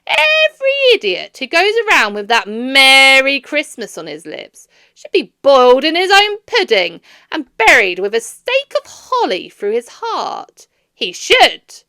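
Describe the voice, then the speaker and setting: A woman voicing a miserly, bitter old man who barks his scorn in loud, indignant outbursts. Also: deep voice, measured pace, creaky, few pauses, no room sound, studio quality, pitched below the performer's own voice, full character voice.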